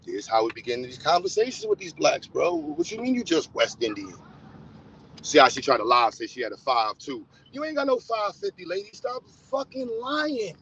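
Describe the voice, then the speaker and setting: A man's voice: on the high side, huge pitch swings, moderate pace, creaky, a bit bright, slightly rough. A man hosting a casual livestream, blunt and teasing as he talks straight to his viewers. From United States. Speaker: livestream host. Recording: dull, lo-fi sound.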